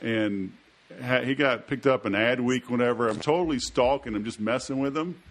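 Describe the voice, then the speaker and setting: A man's voice: medium pitch, moderate pace, low-quality recording. A man hosts a podcast with a drawl. He talks in a loose, chatty way, piling up asides and little jokes between his questions. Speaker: podcast host. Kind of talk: casual interview chat.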